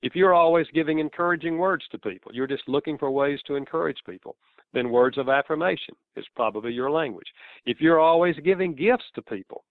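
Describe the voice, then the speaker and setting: A man's voice: fairly high pitch, a little fast, no echo. Southern man on a tinny phone line. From American South. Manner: earnest, explanatory, chuckles between points. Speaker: author guest. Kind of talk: interview answers.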